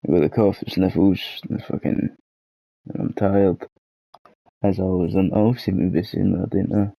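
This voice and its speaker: A Scottish man with a cold and a hoarse throat, rambling and swearing in a self-deprecating way through a casual gaming vlog. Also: deep voice, level tone, moderate pace, no room sound, highs cut off. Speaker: gaming channel host.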